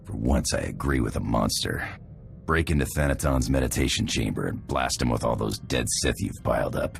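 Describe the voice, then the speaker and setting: A man playing a gruff, menacing alien brute in a video game, guttural and gravelly, with a blunt, sardonic manner. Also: rumbling low, moderate pace, soft background music. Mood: blunt warning.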